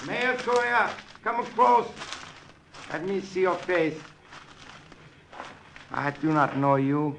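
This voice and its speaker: An actor playing a suspicious, curt Japanese army officer in an old radio drama, speaking clipped broken English. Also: male voice, high voice, animated, takes it slowly, vocal fry, pauses often, a bit bright, exaggerated voice. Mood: stern suspicion. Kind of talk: 1950s radio drama acting. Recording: old radio recording with faint noise.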